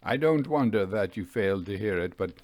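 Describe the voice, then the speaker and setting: A man voicing a troubled, haunted railway signal-man, earnest and deferential, in a halting, deliberate dramatic ghost-story reading. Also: fairly low pitch, lively intonation, a little mellow, no echo, natural voice. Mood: firm, insistent.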